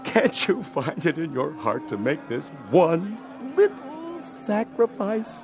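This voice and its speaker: An actor playing a pushy, smooth-talking movie producer in a radio drama, wheedling and grandiose like a salesman. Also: high voice, big pitch swings, slow, over music, exaggerated voice. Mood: grandiose pleading.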